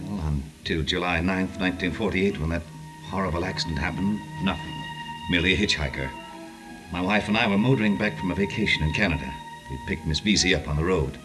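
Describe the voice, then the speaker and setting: Deep-voiced anxious gentleman played by a man. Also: fast talker, music in the background, close to an everyday voice, rough recording. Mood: solemn, explaining. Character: anxious, well-mannered gentleman, fretful guardian. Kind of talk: 1940s radio drama acting.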